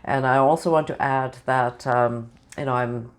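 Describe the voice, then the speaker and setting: Tarot reader, just over the flu and sounding it, middle pitch, talks in loose, filler-heavy runs. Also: fairly even intonation, a little slow. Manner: meandering, with many "you know" and "um" fillers. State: voice changed, recovering from flu. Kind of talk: channeled tarot reading to camera.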